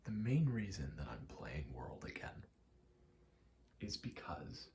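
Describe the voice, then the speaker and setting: Slow, low, gravelly man in a soft ASMR ramble. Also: big pitch swings. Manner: meandering, self-correcting, casually sweary. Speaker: video maker rambling over gameplay. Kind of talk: ASMR ramble, casual chat. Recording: faint background noise.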